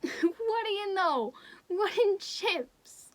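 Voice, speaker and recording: Woman as a high-voiced folksy villager. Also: expressive, very slow, exaggerated voice. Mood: friendly interest. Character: plain-spoken, folksy small-town villager. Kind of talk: amateur stage-play acting over video call. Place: home, on a video call. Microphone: video call microphone.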